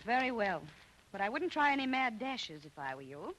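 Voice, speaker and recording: Haughty, imperious wife, swooping pitch. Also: female voice, very animated, normal speed, fairly bright tone, rough recording. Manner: haughty, clipped formal phrasing. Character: proud, bitter, imperious wronged wife. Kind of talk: old-time radio drama acting.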